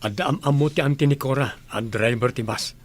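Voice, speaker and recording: A man's voice: mid-range voice, brisk, close to an everyday voice. A man with an Ilocano accent playing a young working man soon to marry, in a radio comedy-drama. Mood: matter-of-fact.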